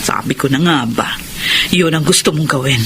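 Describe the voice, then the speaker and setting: A woman's voice: low-pitched, normal speed, over music, lightly acted. A woman playing a firm, guarded middle-aged widowed mother in a Filipino radio drama, with emphatic, emotive delivery and a Filipino accent. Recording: noisy radio drama broadcast.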